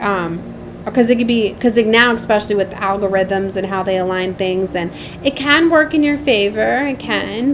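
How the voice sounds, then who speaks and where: Over clearly audible background noise, a woman talks in a medium-pitched voice as she gives a casual tarot reading. She rambles informally, drops thoughts halfway and keeps checking that the listener follows her. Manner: chatty, informal, often trailing off mid-thought; frequently seeks agreement with tag questions. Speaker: tarot reader and spiritual channel host.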